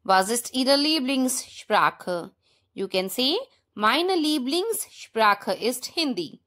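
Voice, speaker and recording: A woman with an Indian accent teaching a language lesson in a deliberate, instructional way, repeating example sentences. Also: fairly high pitch, expressive, bright, forceful tone.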